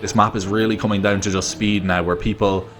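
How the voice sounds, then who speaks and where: A man with a fairly low voice commentates on a gaming competition in a casual way. He is wry and bantering, moving between jokes and explanation of the rounds. Faint noise sits behind his voice. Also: level tone, a little fast, a bit bright. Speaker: esports co-caster. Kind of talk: casual joking esports commentary.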